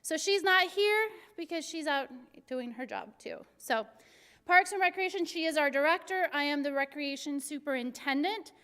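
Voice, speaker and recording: Middle-aged city recreation chief, a woman from Arizona with a very high, bright voice, passionate and jokey, in a big reverberant hall. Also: normal speed. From Arizona, USA. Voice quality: very high-pitched voice. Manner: energetic, passionate and joking. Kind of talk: civic presentation to a class.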